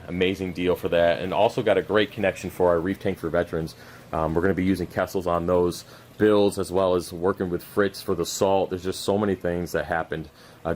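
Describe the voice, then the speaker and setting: Deep-voiced tired man with a scratchy throat. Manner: loose, rambling, many fillers and restarts. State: losing his voice, scratchy throat; exhausted. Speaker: aquarium channel host. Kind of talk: rambling unscripted update. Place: cluttered home fish room. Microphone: camera mic while walking around.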